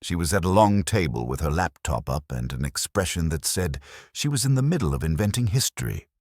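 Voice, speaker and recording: A man reading a scripted first-person detective story in a wry, deadpan way. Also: sits low, brisk, no echo.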